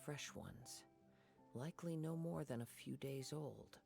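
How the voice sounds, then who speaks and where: A man voicing a calm, observant young wandering swordsman in a video game, husky and measured. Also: mid-range voice, faint music behind. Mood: alert, calm.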